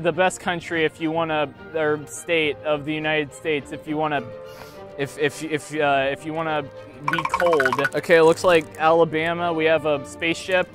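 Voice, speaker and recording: A young man with a fairly high voice rambles through a toy unboxing, cracking deadpan jokes and stumbling over fillers. He's recorded on a lapel mic outdoors by a field. Soft music plays faintly underneath. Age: young adult. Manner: rambling, self-mocking, halting with many fillers. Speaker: YouTuber unboxing toys. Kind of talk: jokey deadpan unboxing vlog.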